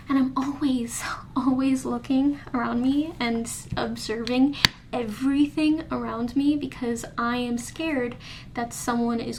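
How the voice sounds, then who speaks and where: A woman's voice: a little high, a little hiss or hum. A woman in her early twenties talking candidly to the camera in her bedroom, rambling with restarts in a casual diary vlog. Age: early 20s. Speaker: vlogger. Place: bedroom indoors. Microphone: camera in front of her face.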